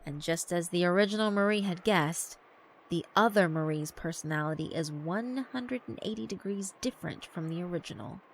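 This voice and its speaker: A woman's voice: lowish voice, normal speed, slight background noise. A woman reading dramatic scripted narration for a visual novel.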